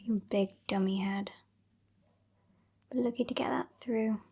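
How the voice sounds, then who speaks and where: Female performer as haughty, teasing British vampire woman, ASMR roleplay. Soft whispery delivery, dark mellow tone, creaky edges, dry and bossy. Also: a little high, fairly even intonation, unhurried, dull audio, full character voice. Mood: condescending, teasing. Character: sassy, bossy female vampire, over a century old.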